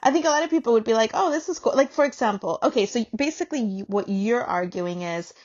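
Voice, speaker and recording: A woman's voice: a little high, expressive, fast talker, darkish tone, highs cut off. A woman co-hosting a podcast in casual, candid conversation, informal and ready to tease or challenge. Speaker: podcast co-host.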